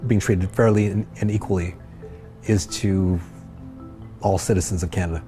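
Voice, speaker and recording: A man presenting an education project, giving prepared remarks at an exhibit opening in a steady, measured way. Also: deep voice, soft background music. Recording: long reverb, faint background noise.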